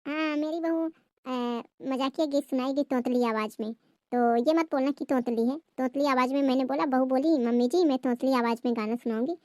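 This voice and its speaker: A woman's voice: high voice, brisk, mellow, no room sound, studio quality, lightly acted. A woman playing an anxious, scolding Indian mother-in-law in a family comedy skit. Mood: defensive. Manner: fretful, scolding, gossipy.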